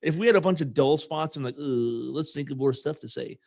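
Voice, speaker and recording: A man's voice: a little high, fast talker, creaky, darkish tone. A man hosting a podcast in casual, conversational chat, talking informally as an army sergeant. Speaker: podcast host.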